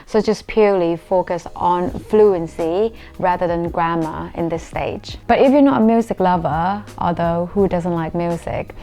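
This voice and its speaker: Young woman, Thai accent, lowish voice, earnest vlogger explaining to camera. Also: measured pace, few pauses, mellow, soft background music. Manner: earnest, explanatory, self-deprecating. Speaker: YouTuber. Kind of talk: talking to camera.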